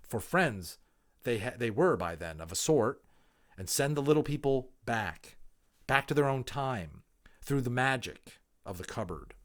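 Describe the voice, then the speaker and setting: A man gives a dramatic reading of a children's story in a medium-pitched voice. His intonation is lively and swings widely. He is emphatic and theatrical, placing pauses deliberately as he narrates. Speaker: amateur audiobook reader.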